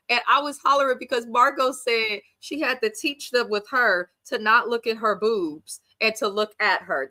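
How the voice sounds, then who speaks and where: Bright, chatty woman with a stuffy nose. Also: fairly high pitch. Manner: chatty, animated, slangy. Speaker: reality TV reviewer. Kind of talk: casual recap and reaction.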